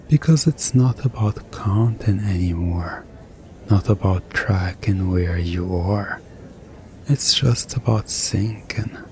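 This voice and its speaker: A man performing a hypnosis ASMR roleplay as a hypnotist, speaking in a soothing, deliberate, hypnotic way with pauses. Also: on the low side, mellow, a little hiss or hum.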